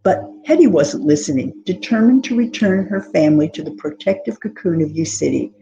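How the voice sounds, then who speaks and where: A woman with a fairly low, soft-edged voice reads literary narration. The delivery is expressive and scripted, as an author reading a novel. Some noise sits behind the voice. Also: a little fast, muffled recording. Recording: clear background noise.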